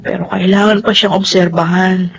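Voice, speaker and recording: A woman's voice: lowish voice, steady tone, moderate pace, creaky. A woman acting an anxious, emotional young mother in a Filipino melodrama. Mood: weary, tender. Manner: pleading, emotional. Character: anxious, tearful young mother of a sick baby.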